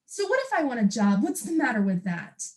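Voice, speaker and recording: A woman's voice: on the high side, sing-song, fairly quick, darkish tone. A young woman acting in a stage play as a defiant, wounded wife who longs to sing. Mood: defensive, hurt. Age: young adult. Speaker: student actor.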